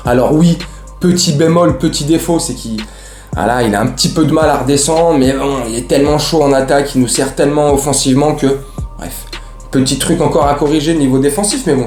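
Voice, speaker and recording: A man hosts a chatty football fan recap. His mellow, relaxed voice rings in a roomy space. He uses plenty of slang and speaks directly to the people watching. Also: medium pitch, fairly quick, faint music behind. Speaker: host of a football fan show. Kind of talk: casual match recap to camera. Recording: roomy, echoey room sound.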